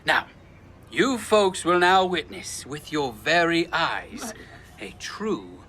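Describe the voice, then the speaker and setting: A man's voice: a little high, expressive, slow, crowd noise. A man playing a flamboyant, bumbling swindler of a street magician with theatrical showman patter in a comic audio drama. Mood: showy.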